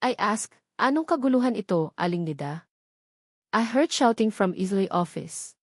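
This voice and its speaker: A woman's voice: mid-range voice, expressive, moderate pace, dry recording, studio quality, close to an everyday voice. A woman with a Filipino accent voicing a cold, authoritative company executive in a drama story, imperious and clipped. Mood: cold, demanding.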